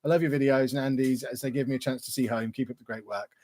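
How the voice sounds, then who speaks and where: A man's voice: mid-range voice, fairly even intonation, fast, darkish tone. A man, casually chatting and answering viewer questions on a livestream. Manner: chatty, rambling, reads viewer questions aloud. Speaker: YouTuber hosting a livestream Q&A.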